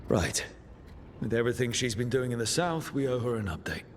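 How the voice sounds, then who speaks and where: An actor playing a steady, resolute leader in a video game, speaking earnestly and firmly to encourage his team. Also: medium pitch, a little fast, slight background noise, lightly acted. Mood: businesslike. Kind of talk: cinematic video game voice acting.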